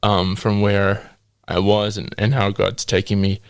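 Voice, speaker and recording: A young man in his twenties from New Zealand with a New Zealand accent, a podcast guest sharing his faith testimony with many pauses, ums and laughs. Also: lowish voice, level tone, no room sound. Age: 20s. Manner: hesitant, with frequent ums and laughs.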